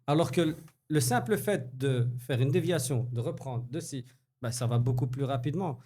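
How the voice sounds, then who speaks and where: A man's voice: mid-range voice, moderate pace, dark tone. A man co-hosting a podcast, arguing football tactics in a casual debate, insistent and explaining at length. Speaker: podcast co-host.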